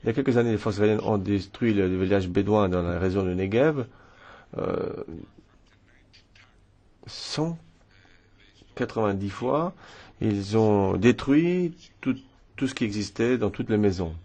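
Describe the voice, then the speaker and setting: A man giving a formal prepared statement at a press conference, measured with occasional hesitations. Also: on the low side, animated, dark tone. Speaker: member of parliament, delegation speaker. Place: press room.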